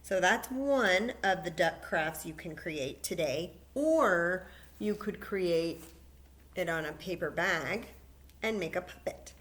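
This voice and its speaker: A woman's voice: fairly low pitch, expressive, slow pace, fairly bright tone. A woman hosting a library story time, guiding children patiently through a paper plate craft step by step.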